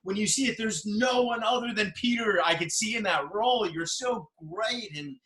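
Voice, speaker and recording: A man hosts a podcast in a bright, slightly high voice, gushing and eager as he asks his questions. He sounds like he is in an echoey room. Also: normal speed. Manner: eager, effusive interviewer. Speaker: podcast host. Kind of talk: casual interview conversation.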